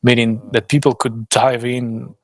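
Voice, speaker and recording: A man's voice: medium pitch, little pitch movement, unhurried. A man giving a live voice-over interpretation of someone else's remarks.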